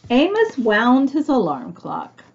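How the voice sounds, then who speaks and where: A woman's voice: fairly high pitch, huge pitch swings, slow, gravelly, husky, lo-fi sound. A woman reading a picture book aloud to young children, expressively, with chatty asides and questions for her listeners. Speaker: storybook reader for young children.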